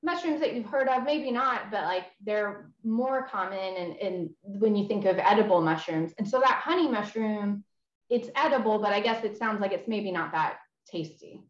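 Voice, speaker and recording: A woman's voice: mid-range voice, moderate pace. Eager woman interviewer, roomy webcam. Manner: enthusiastic, agreeable, frequent "yeah". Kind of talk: casual video-call conversation. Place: home room with red walls and shelves. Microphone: video call webcam. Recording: roomy, dull webcam sound.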